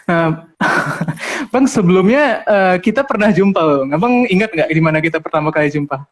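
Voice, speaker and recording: A man's voice: high-pitched, normal speed. Giggly young male podcast host. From Medan, Indonesia. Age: 20s. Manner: chatty, laughs often. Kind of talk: casual podcast chat.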